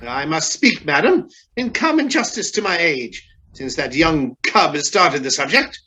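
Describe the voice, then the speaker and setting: A man acting a crotchety, outspoken old gentleman, formal and pompous, in a radio drama. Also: on the high side, sing-song, unhurried, crowd noise. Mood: indignant, blustering. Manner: blustering, pompous.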